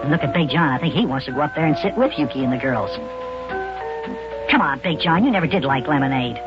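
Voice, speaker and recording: An actor voicing an excitable, whiny little elf boy with a small boyish voice in a children's radio serial. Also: medium pitch, fast, natural voice. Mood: indignant, grumbling. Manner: exclamatory, whiny when put out. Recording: muffled old radio recording with loud music.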